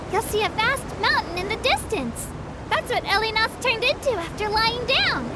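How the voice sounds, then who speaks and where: Very high, childlike creature tour guide. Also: female voice. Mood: friendly, earnest. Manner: cute, polite, earnest, childlike enthusiasm. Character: small, cheerful, earnest childlike female Melusine tour guide creature. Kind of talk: scripted video game character dialogue, guided tour narration. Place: studio voice recording with music behind.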